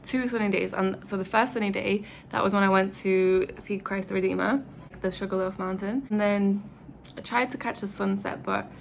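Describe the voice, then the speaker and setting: A young woman from England with an English accent, chatting casually to camera as a travel vlogger, full of "like" and "um" and quick laughs. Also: medium pitch, fairly even intonation, creaky, a little hiss or hum. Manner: chatty, lots of "like" and "um", laughs often. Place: studio flat.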